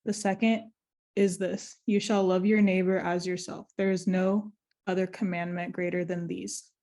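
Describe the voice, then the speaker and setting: Woman, slightly raspy, mellow flat reading voice, carefully paced scripture reading. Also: on the low side. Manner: careful, measured reading aloud.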